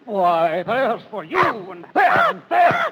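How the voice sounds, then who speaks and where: An actor playing a bewildered, hot-tempered young gentleman in theatrical 1930s radio Shakespeare. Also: high voice, wildly swooping pitch, slow pace, creaky, full character voice. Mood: angry bewilderment. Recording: noisy old 1930s radio broadcast.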